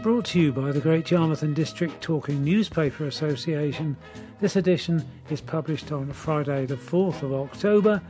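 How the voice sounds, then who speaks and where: A man presenting a local talking newspaper, reading a scripted introduction in a measured, friendly way. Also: on the high side. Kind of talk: scripted news magazine introduction. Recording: music playing behind the voice.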